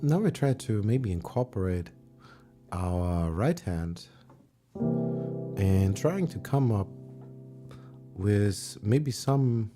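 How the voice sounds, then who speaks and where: Slow-talking German man teaching piano. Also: lowish voice. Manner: relaxed, searching for words, sings and counts along. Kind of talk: livestream music lesson. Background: piano playing.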